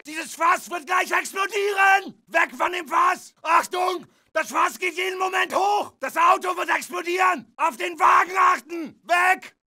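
A man's voice: very high voice, a little slow, hardly any pauses, bright, forceful tone, shouting, a faint crowd, exaggerated voice. A man playing an alarmed, aggressive German infantry soldier in a war video game, barking frantic combat warnings. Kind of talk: shouted combat barks.

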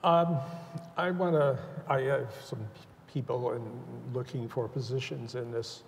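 Older male panelist, gravelly and deliberate, echoing hall. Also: medium pitch, slight background noise. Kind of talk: panel discussion. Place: stage in a large auditorium. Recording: reverberant auditorium sound.

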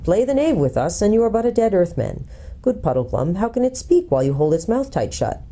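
A woman's voice: mid-range voice, sing-song, fairly quick, dark tone, pitched above the performer's own voice. A woman voicing a bold prince in a storybook, speaking sternly in formal, old-fashioned courtly language. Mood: stern command. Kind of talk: acted audiobook character.

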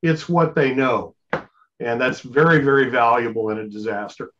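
A man's voice: medium pitch, normal speed, a bit bright. An older man explaining radio operating practice casually as a co-presenter, heard through dull video-call audio.

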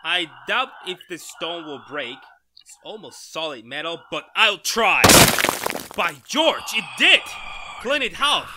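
A man playing an excitable, skeptical scientist in a retro radio drama, melodramatic and halting, increasingly astounded. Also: high-pitched, heavy background noise, full character voice. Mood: dismissive.

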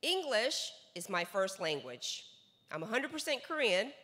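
A woman's voice: on the high side, big pitch swings, a little slow, sharp tone. A woman in her forties from North America giving an emphatic motivational sales lecture on a large conference stage, speaking into a handheld mic. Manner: emphatic, repeats words for stress. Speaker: seminar speaker. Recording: hall reverb.

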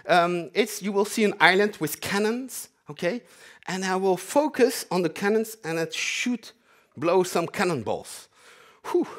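A man in his forties with a French accent, giving a chatty, self-mocking live demo talk on a conference stage. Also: fairly high pitch. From half French, half Dutch. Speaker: conference speaker, developer advocate. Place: large conference hall stage.

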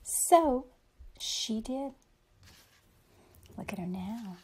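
A woman reads a children's storybook aloud in a fairly high voice, taking her time over every phrase. She is expressive and speaks simply and directly to young listeners, like a teacher with a class. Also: extremely slow, a little hiss or hum. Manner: simple explanatory asides addressed to children. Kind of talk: expressive picture-book read-aloud for children.

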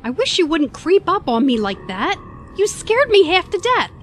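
High-pitched, questioning woman sidekick acted, practical and wry, sci-fi audio drama. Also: big pitch swings, normal speed, faint music behind. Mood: alarmed. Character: wry, practical female travelling companion.

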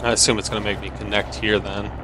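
A man's voice: lowish voice, flat delivery, fast, noisy background. A man giving casual game commentary, thinking aloud in short phrases. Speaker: gameplay streamer.

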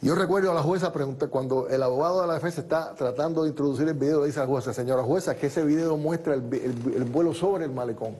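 A man in his fifties, a guest in a studio TV interview, recounting events in steady detail on a lapel mic. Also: fairly high pitch, quick pace, hardly any pauses, slightly rough. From born in the United States, raised in Cuba.

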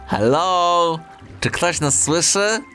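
A man voicing a huge, indignant male hippo in a children's storybook, calling out slowly in complaint. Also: high voice, pushed and bright, soft background music, voice pushed up, full character voice. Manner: slow, complaining calls.

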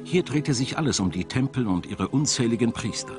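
A man narrating a scripted documentary in a measured, dramatic storytelling manner. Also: mid-range voice, lively intonation. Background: music.

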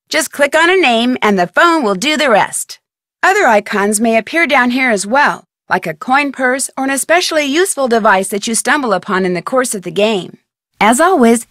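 A woman plays a curious, organized teenage girl detective in a video game. Her voice sits fairly high and is recorded very dry. She explains things in a friendly, chipper, clearly guided way, like scripted tutorial narration. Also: no echo, close to an everyday voice. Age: young adult. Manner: friendly, clear instructional delivery.

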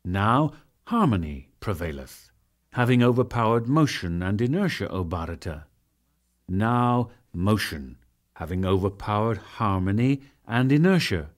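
Guy doing a dramatic scripture reading, solemn n measured, deliberate pauses, pitch moves around a lot. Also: sing-song. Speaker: audiobook narrator.

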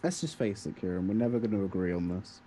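A man's voice: deep voice, expressive, fast, warm tone. A young man co-hosting a casual podcast about games, with a dry, mock-indignant manner. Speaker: podcast co-host.

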